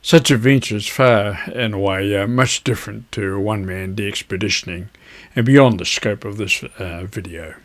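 A man in his seventies giving an instructional talk to camera in a measured, conversational, avuncular way. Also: on the low side. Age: 70s. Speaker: video host, ham radio hobbyist. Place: indoors at a desk, virtual backdrop.

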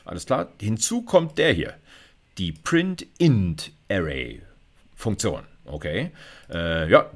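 A middle-aged man giving an informal screencast lecture, explaining live coding step by step in a colloquial way. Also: sits low. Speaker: university computer science lecturer.

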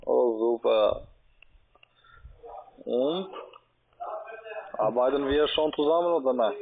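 A man with a foreign accent working as a call-centre agent, speaking in halting, choppy phrases. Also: medium pitch, creaky. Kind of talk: halting sales phone call. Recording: tinny narrow-band phone line.

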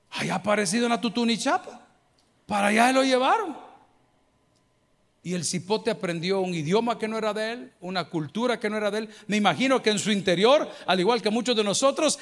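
A middle-aged man from El Salvador with a Salvadoran accent, preaching a sermon on a handheld mic in a large church, lively and joking. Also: high voice. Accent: Salvadoran Spanish. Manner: lively preaching, bursts of mimicry and humor. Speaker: pastor. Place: large church auditorium with a crowd. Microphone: handheld microphone.

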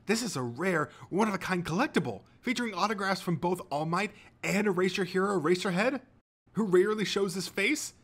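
A man voicing an excitable, indignant teenage boy hero fanboy in an audiobook, gushing with emphatic intensity. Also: high-pitched, fairly quick, few pauses, voice pushed up, heavily acted.

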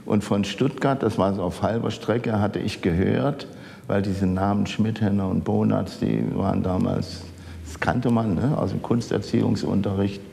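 An elderly man from the Saarland in Germany reminiscing in conversation, haltingly searching for words. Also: fairly even intonation, vocal fry, mellow. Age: older, 70s or 80s. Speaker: architect interviewed as an eyewitness. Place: large office archive room, indoors. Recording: echoey room with rumbling background noise.

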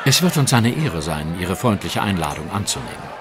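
An actor playing an astute, self-assured gentleman detective in an audio drama, precise and dryly teasing. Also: deep voice, sing-song, normal speed, noisy background. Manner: precise, explanatory, dry. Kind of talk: German audio drama dialogue.

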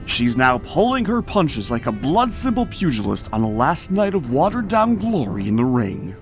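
A man's voice: medium pitch, sing-song, moderate pace, faint music behind. A man voicing an earnest cartoon pony news reporter, grave and overwrought in a mock broadcast style. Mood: grave, probing.

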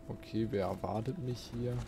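A man's voice: fairly low pitch, normal speed, creaky, over music. A man giving casual let's play commentary, thinking aloud and muttering questions to himself.

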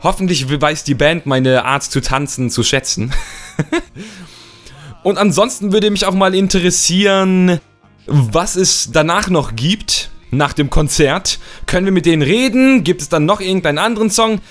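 A young man with a slightly rough, cold-worn voice, chatting playfully and rambling as he narrates his gameplay. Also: sits high, measured pace, faint music behind. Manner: playful, rambling, repeats words for fun. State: hoarse from a cold. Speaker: let's play host. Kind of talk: casual gameplay commentary.

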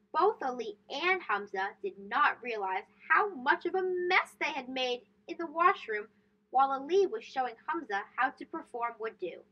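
A woman reading a children's story aloud in an expressive storytelling manner, stressing key words. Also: sits high, breathy edge. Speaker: storybook reader and host. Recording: dull lo-fi sound.

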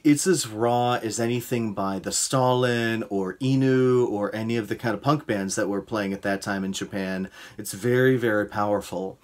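A man in his thirties, a music producer, casually recommending albums over a video call from a room. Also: on the low side. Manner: relaxed, conversational, uses fillers. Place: indoor room. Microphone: video call webcam.

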